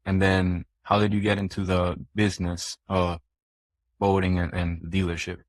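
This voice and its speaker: A man's voice: deep voice, level tone, moderate pace, no echo. A man hosting a podcast, asking direct questions and giving short encouraging replies. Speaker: podcast host. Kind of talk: interviewer questions.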